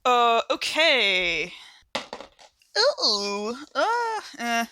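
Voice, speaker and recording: Bright-voiced American woman thinking aloud. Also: on the high side, big pitch swings, very slow, a little hiss or hum. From United States. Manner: tentative, trails off and reworks ideas mid-sentence. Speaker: player in an actual-play podcast. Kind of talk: casual game-table talk, thinking aloud. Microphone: close studio microphone.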